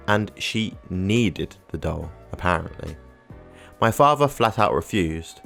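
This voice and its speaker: A man narrating online posts as scripted storytelling, with an even, matter-of-fact reading. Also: deep voice, gravelly.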